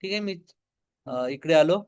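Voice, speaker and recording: Male tutorial instructor, Marathi accent, notably high voice, explains each step and asks then answers his own questions. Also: animated, a little slow, smooth. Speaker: online business tutorial instructor. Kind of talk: step-by-step screen demo tutorial.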